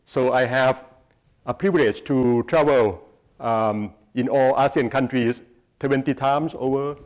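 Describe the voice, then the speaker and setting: A man's voice: mid-range voice, measured pace. Middle-aged Thai man lecturing. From Thailand. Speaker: guest speaker, research institute president. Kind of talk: public lecture with slides. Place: lecture hall, on stage before seated audience.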